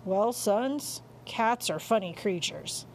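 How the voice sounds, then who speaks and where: A mild storybook dad, voiced by a woman, explains things patiently with a touch of bemusement. The intonation is lively, over faint background noise. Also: medium pitch, slow, low-quality recording. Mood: mildly amused. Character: mild, reasonable suburban father explaining things. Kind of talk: audiobook character voice.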